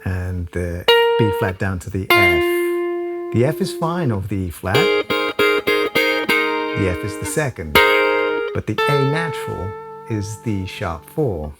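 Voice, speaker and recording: Low-voiced man explaining music theory. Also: slow, pauses often. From South Wales. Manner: relaxed, thoughtful, casual with fillers. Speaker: music teacher. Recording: song playing loudly behind.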